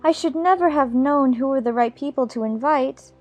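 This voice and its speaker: Woman acting a young girl, storybook heroine, polite, wondering, high voice. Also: normal speed, a little mellow, soft background music, natural voice. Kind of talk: dramatized children's audiobook acting.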